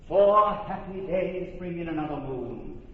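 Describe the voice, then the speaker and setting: A commanding duke delivers his judgments in a measured, slightly high voice. The sound is distant and echoey, with the dull hiss of an old radio recording. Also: expressive. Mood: stately. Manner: measured, authoritative verse speech. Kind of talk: old radio verse drama.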